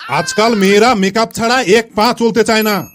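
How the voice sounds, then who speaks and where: A man's voice: sits high, normal speed, fairly bright tone, slight background noise. A man voicing an inquisitive, scolding male schoolteacher in a comedy cartoon, questioning and chiding his pupils.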